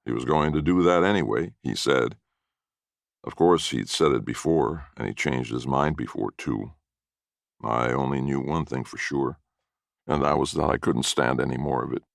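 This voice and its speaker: A man's voice: very deep voice, normal speed, creaky, no echo, studio quality. A man reading a first-person story as narrator in a folksy storytelling manner.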